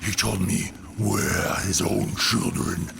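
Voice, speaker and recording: A man voicing a sadistic alien god-killer villain, gravelly and menacing, taunting slowly and deliberately in a dramatic comic book reading. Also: fairly even intonation, exaggerated voice. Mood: taunting, cruel. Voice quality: gravelly, rasping. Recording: loud rumbling ambient noise behind.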